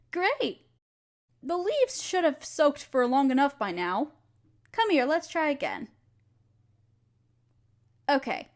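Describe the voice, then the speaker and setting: A woman acts out an eager female alchemist teacher in a fantasy audio roleplay. Her voice sits high and swings freely up and down as she gently instructs and encourages her student, speaking straight to the listener. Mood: eager, warm, then patient, instructive.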